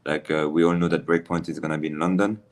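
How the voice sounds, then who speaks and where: A man's voice: rumbling low, little pitch movement, quick pace. A man hosting a casual interview over a video call, repeating phrases with loose grammar. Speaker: host.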